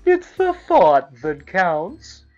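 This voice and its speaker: A woman's voice: fairly low pitch, huge pitch swings, drawn-out words, highs cut off, faint music behind, exaggerated voice. A woman voicing a kindly, patient schoolteacher in an undersea storybook, instructive and reassuring. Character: kindly female schoolteacher sea creature, patient and announcing.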